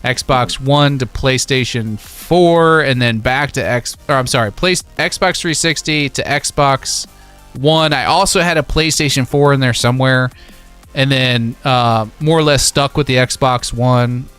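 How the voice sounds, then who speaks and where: A man's voice: medium pitch, fairly even intonation, measured pace, fairly bright tone, clean tone, faint music behind. A man in his thirties casually chatting about video games on a live stream, reminiscing enthusiastically in slangy, informal speech.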